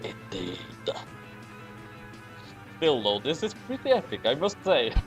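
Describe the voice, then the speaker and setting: A man's voice: medium pitch, huge pitch swings, measured pace. A man doing a casual comic read-along with friends, with a theatrical, exaggerated delivery. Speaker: host reading a fan story aloud with friends. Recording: music behind the voice. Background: background music.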